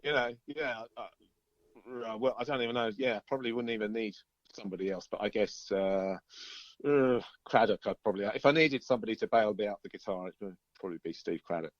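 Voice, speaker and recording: Middle-aged male musician, interview guest. Low voice, hesitant rambling chat, muffled close dry recording. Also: a little fast, no echo. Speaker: interviewed musician, band member. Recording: dull, lo-fi band-limited line.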